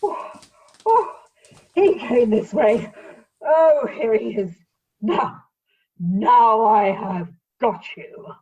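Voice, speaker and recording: A woman's voice: a little high, animated, drawn-out words, pauses often, warm tone, breathy edge, exaggerated voice. A woman playing a melodramatic, lovelorn young lady, wailing and gasping in comic Victorian style over a dull video-call recording. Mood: breathless triumph.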